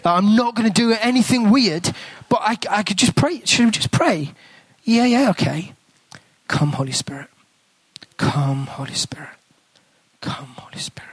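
A man's voice: high voice, animated, normal speed, slightly rough, no room sound. A man with an English accent preaching as a guest speaker, telling a story in a chatty, conversational way. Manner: conversational storytelling, drops to a hushed whisper in prayer. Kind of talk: sermon with a told story.

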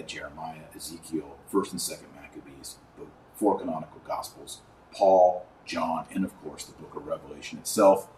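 A man's voice: low voice, darkish tone. An American man hosting a podcast, giving an informal, opinionated monologue and emphatically listing points with repetition. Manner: emphatic, repeats and lists items for effect. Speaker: podcast host; online course teacher. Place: indoors at a desk. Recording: roomy echo, distant mic, faint noise.

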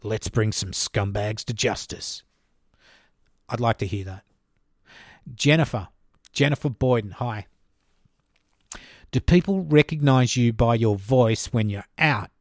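A man's voice: medium pitch, unhurried, long pauses, no room sound, clean studio sound. Chatty Australian man hosting a podcast. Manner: chatty and informal, addresses listeners by name. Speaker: podcast host. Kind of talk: solo podcast reading listener questions.

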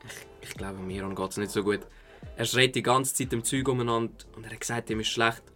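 Actor as excitable young male partygoer, urgent, informal, average pitch, audio play, light music bed. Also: a little fast, lightly acted. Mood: stressed, urgent. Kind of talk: amateur audio drama dialogue. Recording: faint music underneath.

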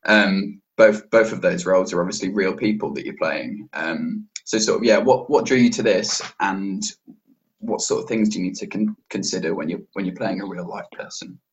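A man's voice: sits low, normal speed. A man interviewing an actor, asking questions hesitantly with many ums and restarts. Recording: dull lo-fi line.

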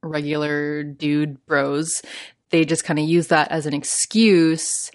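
A woman's voice: low-pitched, flat delivery, slow. A young adult woman with a Canadian accent hosting a solo podcast, chatty and prone to laughing, with lots of fillers. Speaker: podcast host.

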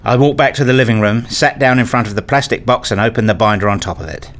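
A man narrating a first-person radio story in a measured, reflective way, also voicing the father's lines. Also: medium pitch, fairly bright tone. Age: middle-aged. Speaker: narrator and lead actor playing a father.

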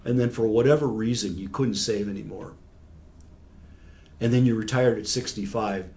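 Guy in his 60s, kinda low voice, patiently explaining stuff in an interview, plain everyday examples. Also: normal speed, darkish tone. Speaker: guest financial expert.

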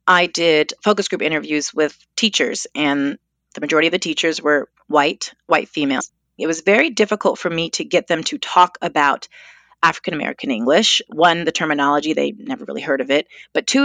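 A woman speaks earnestly on a podcast, her voice fairly low and recorded dry and close. She tells her points with animated emphasis. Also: no echo. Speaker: podcast panelist. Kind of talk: podcast discussion.

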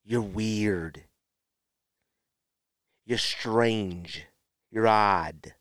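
A man with an American accent giving opinionated solo podcast talk in a blunt, emphatic way, with drawn-out pauses and repeated phrases. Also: lowish voice, a bit bright, dry recording, clean studio sound. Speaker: podcast host.

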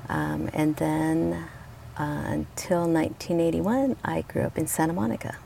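A woman in her fifties from Colombia, talking about her life as a guest, reflective and warm, with frequent ums and soft laughs. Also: low-pitched, fairly even intonation, normal speed, vocal fry, slight background noise. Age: 50s. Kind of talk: casual conversation. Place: outdoors at a beach.

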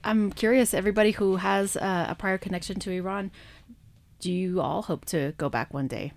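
A woman's voice: mid-range voice, moderate pace, a little mellow. Woman interviewer asking questions. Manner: brief, inviting prompts. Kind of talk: radio interview hosting.

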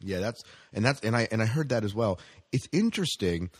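A man's voice: fairly low pitch, lively intonation, fairly quick, husky, no echo. A man hosting a casual news chat, speaking conversationally and often putting questions to his co-host. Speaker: podcast host reading entertainment news.

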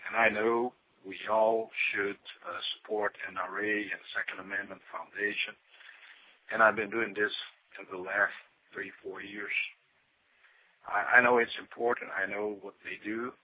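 A man with a Brazilian accent leaving a hesitant call-in message to a radio show, with many pauses and fillers. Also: on the low side, level tone, dry recording. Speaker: caller. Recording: muffled phone line.

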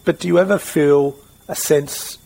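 A man's voice: on the high side, steady tone, a little slow, creaky, darkish tone, low-quality recording. A middle-aged Australian man interviewing in a conversational, reflective way, circling around his questions.

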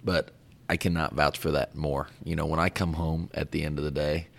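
A man in his thirties, a business owner and podcast guest, talking in a casual, upbeat way with a raspy voice today. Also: very deep voice, level tone, moderate pace.

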